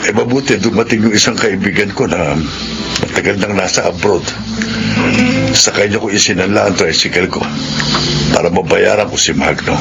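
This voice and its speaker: A man's voice: sits low, normal speed, creaky, pauses often, over loud music, low-quality recording. A man with a Filipino accent playing a humble, worried middle-aged tricycle driver in a radio drama. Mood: somber, resentful. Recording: loud background noise.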